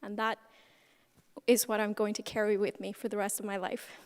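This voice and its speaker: A woman speaking earnestly in public, with emphatic and deliberate phrasing. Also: mid-range voice, little pitch movement. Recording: echoey hall sound.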